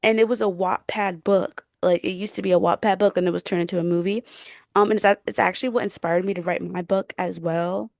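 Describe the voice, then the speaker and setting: A woman's voice: fairly low pitch, fairly even intonation, brisk, gravelly, no echo, studio quality. A young woman co-hosting a podcast in casual, chatty, slangy conversation. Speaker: podcast co-host.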